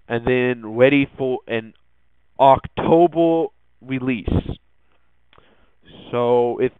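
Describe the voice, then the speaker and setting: A man hosting a podcast with casual tech news commentary, speaking haltingly and often restarting his words. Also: medium pitch, clean tone, dry recording. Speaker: podcast host. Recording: thin phone-like lo-fi audio.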